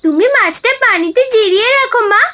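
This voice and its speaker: A woman voicing an excitable, pleading little village girl in a cartoon. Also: very high-pitched, fairly quick, background noise. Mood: delighted. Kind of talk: cartoon voice acting.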